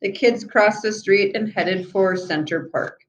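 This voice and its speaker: A woman reads a children's story aloud at a slow, unhurried pace. Her pitch sits in the middle of the female range. She narrates expressively, like a storyteller addressing young listeners. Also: highs cut off. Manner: expressive, acts out the children's dialogue. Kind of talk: children's book read-aloud with asides.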